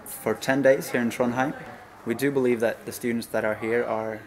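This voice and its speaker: A man's voice: mid-range voice, fairly even intonation, moderate pace, a little hiss or hum. A man in his twenties with a Norwegian accent, giving a documentary interview as a student festival president. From Norway. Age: 20s.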